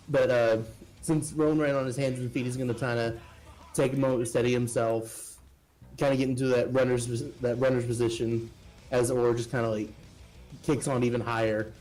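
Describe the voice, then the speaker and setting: A man takes part in a tabletop role-playing game as a player. He talks casually and improvises descriptions of his character's moves at a middling pitch, with faint guitar music behind him. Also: a little mellow, poor audio.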